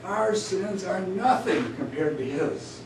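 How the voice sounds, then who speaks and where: A man's voice: high-pitched, expressive, slow pace. A man voicing an indignant, grieving, combative biblical prophet in a declamatory, accusing epic poetry recitation. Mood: indignant, aggrieved. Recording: distant roomy live recording, faint noise.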